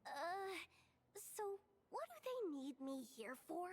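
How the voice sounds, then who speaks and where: A woman's voice: very high voice, very animated, slow pace, darkish tone, exaggerated voice. A woman playing a reluctant, flippant young girl in a video game, speaking in a hesitant, rambling way. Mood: awkward, flippant.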